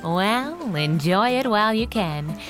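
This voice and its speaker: Playful radio-play heroine, played by a woman: sardonic, animated, dry banter. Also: mid-range voice, slow, music in the background. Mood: teasing, wry. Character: teasing, sardonic female crime-fighting partner. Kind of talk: 1930s pulp radio drama acting.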